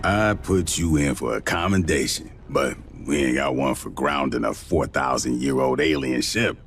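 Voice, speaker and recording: An actor playing a gruff, sardonic marine commander with a husky voice, giving a blunt, slangy mission briefing in a game. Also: male voice, very deep voice, exaggerated voice. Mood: wry, sardonic.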